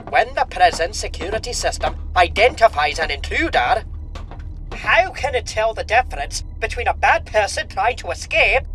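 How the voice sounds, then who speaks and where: A woman plays a logical, explanatory female ally in a comic dub. Her voice is slightly high and lively, with clear music underneath. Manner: precise, explanatory. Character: female mission ally, logical, puzzled by human ways. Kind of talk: comic dub character acting.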